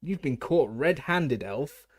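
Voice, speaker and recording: A man's voice: medium pitch, sing-song, moderate pace, dry recording, pitched above the performer's own voice. A stern middle-aged wizard official barks and accuses, pushing hard on every word, played by a male audiobook reader. The reading is dry and close to the mic. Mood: stern accusing. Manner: barking, accusing.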